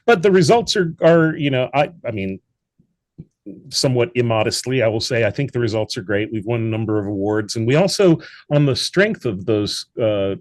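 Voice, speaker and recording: A man's voice: medium pitch, expressive, moderate pace, no echo. An American man speaking as an interview guest in an articulate, reflective, conversational way. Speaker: interview guest, audio drama producer.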